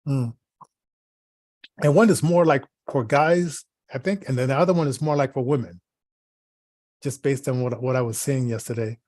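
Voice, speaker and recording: A man co-hosting in casual, rambling chat, halting as he searches for words and repeats himself. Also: medium pitch, a little mellow, no echo. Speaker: podcast co-host.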